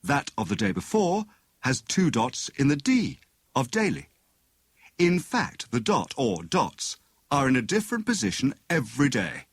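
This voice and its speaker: A man's voice: a little high, very animated, a little slow, creaky, husky, no room sound, clean studio sound, pitched above the performer's own voice, full character voice. A man voicing a jovial, pompous husband who plays amateur detective in a teasing, mock-grand manner for an audiobook.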